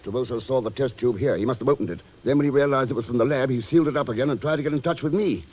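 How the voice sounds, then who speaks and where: An actor playing a cool, commanding secret agent in a 1940s radio spy drama, speaking in a clipped, wry way. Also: male voice, medium pitch, very fast, a little mellow, natural voice. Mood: firm.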